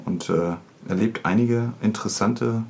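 Low, creaky-voiced man hosting. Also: a little slow, a little mellow. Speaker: podcast host interviewing. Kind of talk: casual conversational interview.

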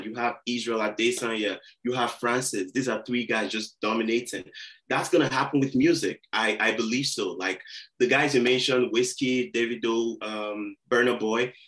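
A man, telling stories as a podcast guest in a relaxed, slangy way. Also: medium pitch, low-quality recording. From Nigeria. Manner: laid-back, slangy storytelling. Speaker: guest rapper. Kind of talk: casual podcast interview.

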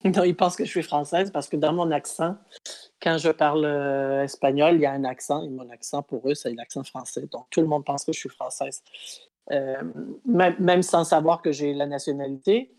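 A woman's voice: low voice, normal speed, a little mellow. A woman from Quebec, talking casually and emphatically as an interview guest, telling anecdotes. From Quebec, Canada.

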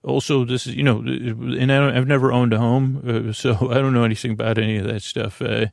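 A man's voice: little pitch movement, brisk, vocal fry, dry recording, studio quality. A man hosting a bedtime podcast, rambling in a meandering, easygoing way with lots of hesitations and self-corrections. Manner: low-key, easygoing. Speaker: podcast host.

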